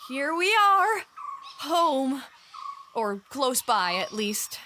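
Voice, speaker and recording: A woman's voice: sits high, sing-song, very slow, noisy background, full character voice. A woman playing a cocky, playful flying boy who leads a band of children in an audio drama. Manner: boyish, breezy, bossy. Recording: music under the voice.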